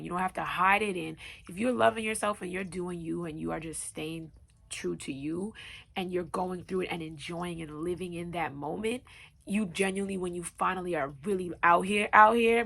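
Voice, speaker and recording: Deep raspy-voiced young woman podcaster. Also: fairly bright tone. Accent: New York. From Long Island, New York. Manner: earnest, motivational, addresses listeners as y'all. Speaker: podcast host. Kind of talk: casual solo podcast talk.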